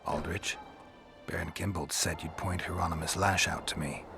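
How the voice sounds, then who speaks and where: A world-weary monster hunter, speaks in an extremely low, gruff voice. His delivery is deadpan and laconic, with a forceful edge, in video game dialogue over faint music. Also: moderate pace. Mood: dry, matter-of-fact.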